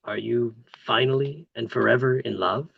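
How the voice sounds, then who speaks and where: A woman's voice: very deep voice, normal speed, vocal fry, husky, dry recording, lo-fi sound, full character voice. A woman playing a flustered, love-hungry neighbour of forty-two in a play, hesitant and theatrically torn. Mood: tempted, torn. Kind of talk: play table read.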